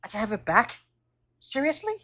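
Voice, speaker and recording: A woman's voice: a little high, very animated, moderate pace, pushed and bright, exaggerated voice. A woman playing an earnest, brooding teenage schoolboy wizard in an audio drama, blunt and a little hesitant. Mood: astonished. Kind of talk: fan audio drama acting.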